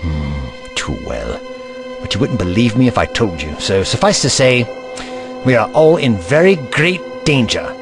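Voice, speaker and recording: Casting: male actor as intense eccentric hero for audio drama, hugely dynamic intonation, emphatic and grave, over clear music. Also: medium pitch, big pitch swings, measured pace. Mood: ominous.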